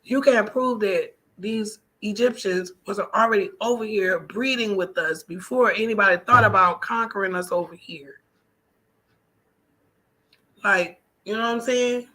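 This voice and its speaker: Casting: American female vlogger, bright and punchy voice, emphatic colloquial delivery, conversational solo talk. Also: mid-range voice, measured pace, low-quality recording. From United States. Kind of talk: casual personal vlog monologue.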